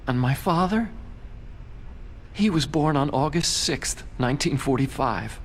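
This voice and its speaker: Bookish young scientist, higher-pitched, very animated, anxious, idealistic, emotional game drama. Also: male voice, a little slow, slight background noise. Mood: sorrowful. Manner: earnest, emotional. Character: anxious, idealistic, guilt-ridden male scientist, bookish and earnest. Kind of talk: dramatic video game voice acting. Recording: faint background music.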